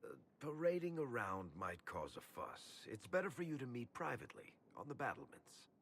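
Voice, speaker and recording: An actor playing a casual, roguish, evasive ally in a video game, speaking informally and with some hesitation. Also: male voice, medium pitch, sing-song, normal speed, fairly bright tone, husky, full character voice. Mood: sheepish, evasive.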